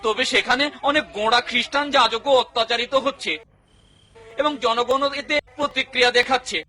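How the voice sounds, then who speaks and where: An earnest envoy in an animated series pleads for his oppressed people. His voice sits very high and bright, and the delivery is urgent. The animated dub style holds throughout. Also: fairly quick, full character voice. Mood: pleading. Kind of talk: cartoon dubbing.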